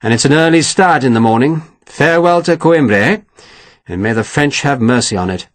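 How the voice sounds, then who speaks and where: A man's voice: mid-range voice, animated, fairly quick, no echo, lightly acted. A man doing a breezy, genteel colonel. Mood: placating. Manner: breezy, placating, grand. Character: genteel, evasive male army colonel.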